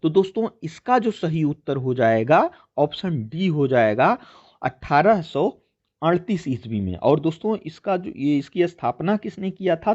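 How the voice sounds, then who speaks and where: A man's voice: a little high, darkish tone, dull audio. A man presenting an exam-prep lesson as a teacher, explaining answers and often posing questions that he then answers himself. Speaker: teacher presenting a history quiz.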